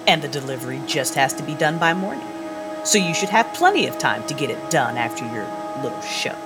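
An actress playing a calm, calculating vampire woman who gives orders in a deliberate, businesslike way in an audio drama. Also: a bass voice, over music. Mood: businesslike, commanding.

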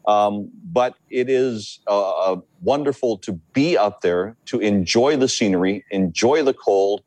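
A man from Hawaii, a meteorologist, explaining the weather and big surf in a halting, repetitive way during a news interview. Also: on the low side, darkish tone, dry recording, low-quality recording. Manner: halting, repeats words while explaining.

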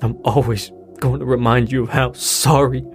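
A man's voice: medium pitch, steady tone, natural voice. Guy acting as guilty grieving dad in an audio drama, hesitant, apologetic, music playing under him. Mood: anguished, guilty. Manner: halting, hesitant, sighing delivery. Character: grieving, guilt-ridden widowed father, apologetic and halting. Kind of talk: naturalistic audio drama dialogue.

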